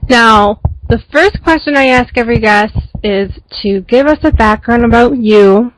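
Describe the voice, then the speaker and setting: A woman hosting an interview podcast, introducing her guest and asking questions in a measured, thoughtful way. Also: a little high, no echo, low-quality recording. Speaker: podcast host.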